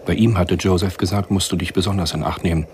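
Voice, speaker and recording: An actor playing the grave narrator of a radio spy thriller, telling the story in a sober, measured way. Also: male voice, deep voice, fairly even intonation, noisy background, natural voice. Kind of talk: scripted literary narration in a radio play.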